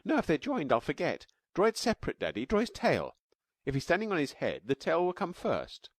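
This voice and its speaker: Man putting on a squeaky little cave girl, eager, chattering, high-pitched, very lively, dry sound. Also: brisk, no room sound.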